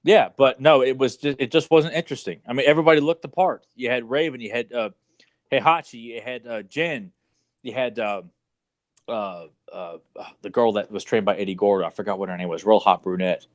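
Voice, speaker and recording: A man's voice: medium pitch, a little fast, dry recording. A man co-hosting a podcast, chatting casually in a blunt, opinionated and rambling way with some mild swearing. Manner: blunt, opinionated, mildly profane. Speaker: podcast co-host. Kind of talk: casual chat about video game movies.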